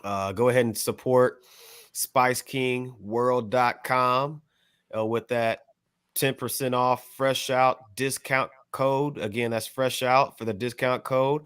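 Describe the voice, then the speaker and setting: Male American panelist, slow-paced and casual, repeats short phrases, dry close-up sound. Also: medium pitch, steady tone, fairly bright tone, clean tone, dry recording. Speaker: podcast panelist. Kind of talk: casual chat.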